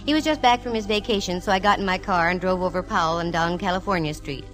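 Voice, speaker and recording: A woman's voice: fairly low pitch, fast, natural voice. An actress playing a witty, self-assured female private detective in a 1940s radio drama, with wry, teasing banter. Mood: wry, confiding. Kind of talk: 1940s radio detective acting with first-person narration. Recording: vintage 1940s radio, music behind.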